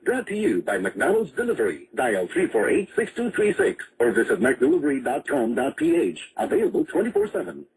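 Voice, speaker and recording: A man's voice: mid-range voice, wildly swooping pitch, moderate pace, slight background noise, poor audio. A man reading scripted advertisement lines as an announcer. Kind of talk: scripted English ad read.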